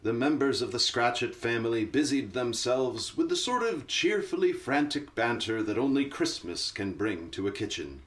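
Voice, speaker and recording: A woman narrating a Christmas radio play as a storyteller, reading scripted prose in a measured way. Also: extremely low pitch, sing-song. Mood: measured, storytelling.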